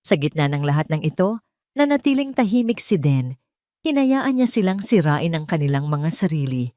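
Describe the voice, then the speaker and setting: A woman's voice: fairly low pitch, a little mellow, no echo, studio quality. A woman narrating a scripted romance and revenge audio drama in a dramatic storytelling style.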